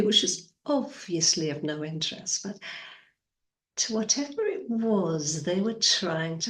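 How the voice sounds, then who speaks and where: Woman playing a cunning, imperious noblewoman, slow and deliberate. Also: mid-range voice, distant mic. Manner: poised, deliberate, disdainful. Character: cunning, imperious aristocratic woman, proud manipulator of men. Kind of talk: dramatic stage monologue. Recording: echoey room.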